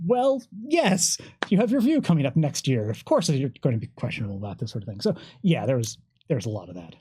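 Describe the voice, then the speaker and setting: A man chatting casually as an interview guest, an animated storyteller who acts out bits of dialogue. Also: mid-range voice, fast, a little hiss or hum. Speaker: guest, game writer. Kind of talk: casual anecdotal chat.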